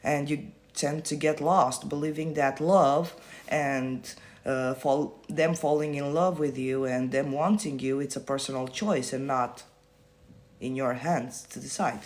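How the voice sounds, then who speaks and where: A man coaching viewers on a phone livestream, answering their comments in a blunt, casual way, swearing freely and repeating himself to make points land. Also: fairly high pitch. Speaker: manifestation coach.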